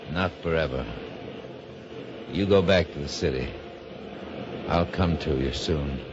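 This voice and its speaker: A low-voiced, reckless man with secrets speaks tersely as a lover and accomplice in a 1950s radio thriller. The sound is dull, like an old broadcast. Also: unhurried, heavy background noise. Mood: evasive. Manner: dramatic, terse. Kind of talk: 1950s radio mystery acting.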